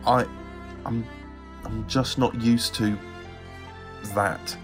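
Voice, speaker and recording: A man's voice: medium pitch, fairly even intonation, extremely slow, over music. An actor playing a nervous man out of his own time who stammers and gets easily flustered. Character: nervous young man from the distant past, awkward and flustered.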